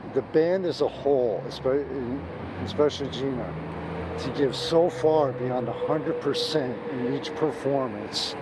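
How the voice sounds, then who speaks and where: Hoarse man raving about a band. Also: mid-range voice. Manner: emphatic, gushing, restarts often. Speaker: music reviewer. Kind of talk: off-the-cuff video review. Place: outdoors on a city street at night. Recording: street noise and traffic behind.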